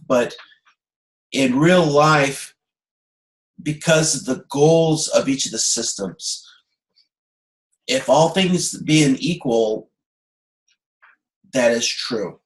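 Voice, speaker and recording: A man giving an informal online lecture as a course instructor, halting and correcting himself as he thinks aloud. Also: medium pitch. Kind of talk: informal lecture explaining slides. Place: indoor room, online video call. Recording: echoey room on video conference.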